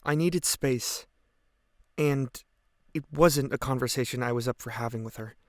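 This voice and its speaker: A man's voice: medium pitch, normal speed, dry recording, clean studio sound, lightly acted. Earnest, guilt-ridden teenage boy played by a man. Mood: regretful. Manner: hesitant, reflective, earnest. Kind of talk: audio drama monologue, police interview testimony.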